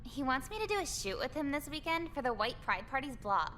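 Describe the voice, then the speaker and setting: Bright, high-voiced flippant teenage girl. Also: big pitch swings, brisk, slight background noise, heavily acted. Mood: breezy, unbothered. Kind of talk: visual novel game voice acting.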